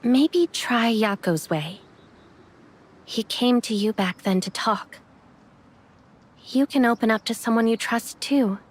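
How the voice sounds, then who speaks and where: A woman playing a caring but reserved young woman in an anime game, speaking gently and reassuringly. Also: fairly high pitch, animated, takes it slowly, long pauses. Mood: gentle, reflective.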